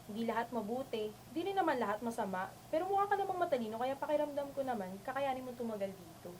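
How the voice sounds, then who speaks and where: Girl acting as chatty honest city roommate in a radio drama, highish voice, faint noise. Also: expressive, fast talker, a little mellow. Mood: chatty, friendly. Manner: chatty, candid. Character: friendly, frank young city dormmate.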